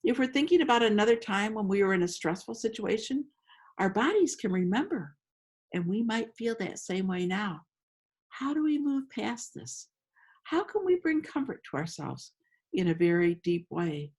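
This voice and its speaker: A woman leading a guided meditation as host, speaking slowly and invitingly with long pauses, in a gentle storytelling style. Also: mid-range voice, big pitch swings, slightly rough. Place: outdoors among roses.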